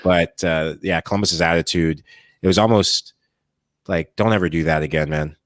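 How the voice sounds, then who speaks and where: A Midwestern American man with a very low voice talks soccer as a former player. He is emphatic and keen. The sound is dry. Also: fairly even intonation, normal speed, dry recording. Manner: enthusiastic, emphatic. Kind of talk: casual sports talk.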